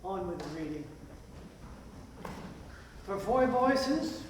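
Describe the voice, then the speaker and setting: A man's voice: sits high, big pitch swings, slow pace. An older man giving an informal, humorous introductory speech to an audience as a playwright. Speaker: playwright introducing his play. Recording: echoey hall from far off, hiss.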